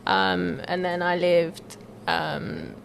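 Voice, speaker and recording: A woman's voice: fairly low pitch, little pitch movement, very slow, vocal fry. South London woman chatting, slightly noisy recording. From South London, born in Colombia. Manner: informal, anecdotal, quoting conversations. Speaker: singer-songwriter, interview guest. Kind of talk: casual chat.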